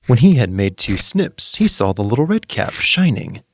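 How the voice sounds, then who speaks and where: A man narrating a scripted fairy tale in an expressive, measured storytelling manner. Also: medium pitch, background noise.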